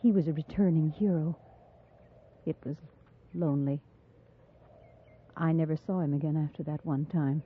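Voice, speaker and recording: A woman's voice: deep voice, steady tone, moderate pace, long pauses, husky. An actress playing a candid, lonely frontier mother, plain-spoken and subdued, in a 1950s radio drama. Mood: wistful, candid. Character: candid, lonely frontier mother, former schoolteacher, quietly brave. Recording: muffled, narrow-band vintage radio recording.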